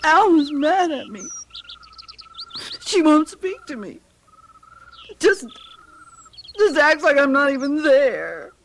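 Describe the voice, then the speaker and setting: Plaintive, slow-talking bewildered housewife. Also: female voice, sits high, sing-song, full character voice. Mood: hurt, plaintive. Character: bewildered, hurt, timid housewife locked out of her house. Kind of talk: radio drama acting. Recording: noisy vintage radio drama tape.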